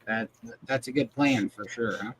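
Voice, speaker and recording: A man's voice: medium pitch, moderate pace. A man hosting a live video chat show, talking casually with informal, jokey banter. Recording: clear background noise.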